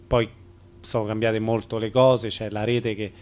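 A man hosting an informal radio interview, speaking in measured, hesitant phrases that circle toward the point. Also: lowish voice, flat delivery, a little mellow. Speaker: radio host.

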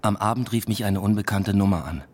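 A man's voice: on the low side, brisk, darkish tone, slight background noise, natural voice. An actor playing a weary academic and family man, narrating a radio drama in an introspective, understated way. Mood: brooding. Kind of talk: scripted first-person radio drama narration with dialogue. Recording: music behind the voice. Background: music.

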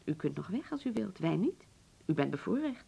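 A genteel hotel proprietress of the old school in a radio play. Her voice is low and gravelly at the edges. She speaks formally and nostalgically, pausing for dramatic weight like a practised storyteller. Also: sing-song, warm tone, lo-fi sound, full character voice. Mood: concerned, resigned. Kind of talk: Dutch radio drama acting.

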